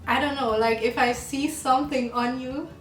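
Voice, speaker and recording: A young woman from Jamaica co-hosting a casual chat, slow and laughing often. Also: high voice, steady tone. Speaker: channel co-host. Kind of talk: casual get-to-know-me chat. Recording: roomy sound, mic at a distance, music behind.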